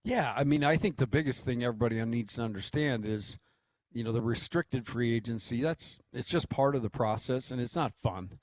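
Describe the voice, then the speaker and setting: A man's voice: medium pitch, brisk, dry recording. An American man co-hosting sports radio, talking casually and explaining things in a conversational, reassuring way. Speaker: radio co-host.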